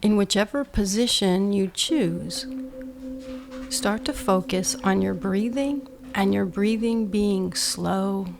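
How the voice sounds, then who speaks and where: A woman guiding a meditation with measured instructions, in short phrases that often end hushed. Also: medium pitch, gravelly, a little hiss or hum. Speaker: meditation guide. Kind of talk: slow guided meditation, instructional.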